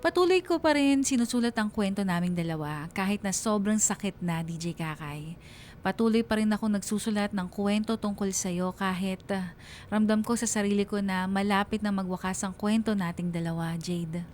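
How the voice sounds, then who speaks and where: A woman's voice: medium pitch, moderate pace, a little mellow, slight background noise, natural voice. An actress playing a heartbroken young woman, narrating her love story confidingly in a Tagalog radio drama. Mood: wistful, resigned.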